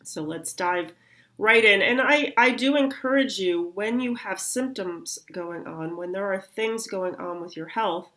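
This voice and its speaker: A slightly nasal middle-aged woman walks through a health lesson at an easy, unhurried pace. Her pitch sits in an ordinary middle range, and she pauses often with soft fillers as she explains. She sounds a little congested, like someone still clearing up. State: recovering from sinus congestion. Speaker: chiropractor. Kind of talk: health talk explaining anatomy with slides.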